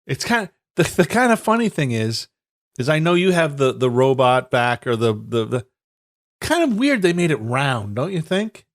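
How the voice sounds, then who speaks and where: A man's voice: medium pitch, very animated, moderate pace. A man co-hosting a casual podcast, stuffed up, talking in a profane, rambling way with stammered restarts and laughs. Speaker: podcast co-host. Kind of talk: casual banter.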